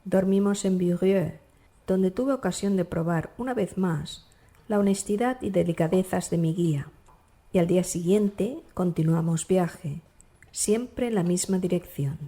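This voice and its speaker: Low, mellow woman narrating a dark novel. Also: measured pace. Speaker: audiobook narrator. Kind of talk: scripted literary narration of a dark classic novel.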